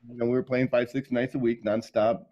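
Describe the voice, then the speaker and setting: A middle-aged man from Michigan, telling his life story as an interview guest in a relaxed way, with many ums and laughs. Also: mid-range voice, fairly quick, muffled recording. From Michigan, USA. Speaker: guest, sound healer.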